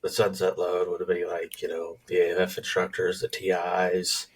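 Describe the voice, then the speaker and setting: Deep laid-back man, far from the mic. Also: monotone, moderate pace, husky. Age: 40s. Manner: laid-back, easygoing, reminiscing. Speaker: podcast co-host, skydiving instructor. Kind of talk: casual chat.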